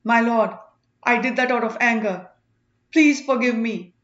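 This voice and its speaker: A woman voicing a treacherous male warrior in an audiobook, speaking in a loud, insistent, declamatory way. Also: fairly high pitch, measured pace, reverberant, dull audio. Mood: pleading. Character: treacherous armed man loudly accusing a rival before crowd.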